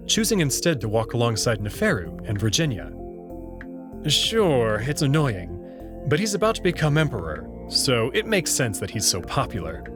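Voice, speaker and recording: Guy narrating a story, pretty expressive pitch, music going behind him. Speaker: narrator reading a visual novel aloud. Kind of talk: scripted first-person story narration.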